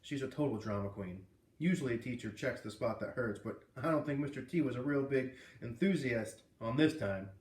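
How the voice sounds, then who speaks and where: A man reading a children's novel aloud in an expressive, animated way, with casual asides. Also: mid-range voice, a little fast, a little hiss or hum. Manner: animated, puts on character voices. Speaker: read-aloud host. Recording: roomy, distant microphone.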